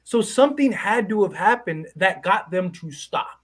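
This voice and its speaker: A man around thirty co-hosting a live horror movie stream, talking in long, animated, rambling stretches full of restarts. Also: sits high, normal speed. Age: 30s. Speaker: co-host.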